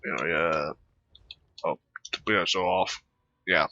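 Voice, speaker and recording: A man hosting a casual gaming playthrough, halting and rambling as he reads dialogue aloud and mutters asides, on a dull, dry mic with clicking. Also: very deep voice, wildly swooping pitch, gravelly, bright, forceful tone. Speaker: gaming channel host. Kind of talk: casual let's play commentary, reading dialogue aloud.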